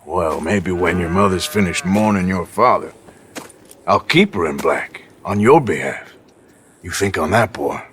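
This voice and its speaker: An actor playing a menacing, sardonic American outlaw with a Western drawl in a game cutscene. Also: male voice, deep voice, expressive, a little slow, fairly bright tone, a little hiss or hum. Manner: dry, sardonic, threatening. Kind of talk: dramatic game cutscene acting.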